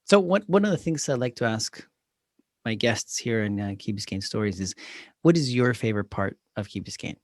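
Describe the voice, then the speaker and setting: A man's voice: on the low side, expressive, moderate pace, no room sound, clean studio sound. Upbeat man hosting a podcast. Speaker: podcast host. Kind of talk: podcast intro and interview.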